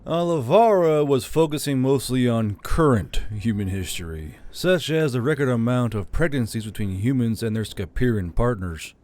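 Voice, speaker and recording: A man narrating a fantasy novel in a steady, measured reading. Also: mid-range voice. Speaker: audiobook narrator. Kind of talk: scripted narration.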